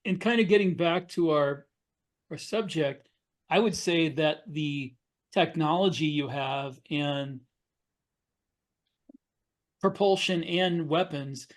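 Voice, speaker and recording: A man with a fairly high voice takes part in a casual panel discussion. He lays out his points in a measured, explanatory way. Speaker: podcast panelist and science fiction author.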